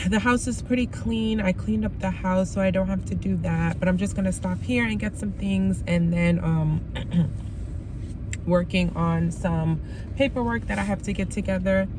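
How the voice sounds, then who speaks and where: Slightly raspy woman vlogging in a car. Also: mid-range voice, background noise. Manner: rambling, many ums and likes. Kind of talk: casual vlog chat.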